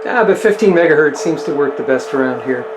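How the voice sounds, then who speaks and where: A man's voice: fairly high pitch, animated, quick pace. Man thinking aloud in a noisy, echoey space. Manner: thinks aloud while tinkering. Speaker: host of a radio hobby video. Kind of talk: casual hands-on demonstration, talking to camera.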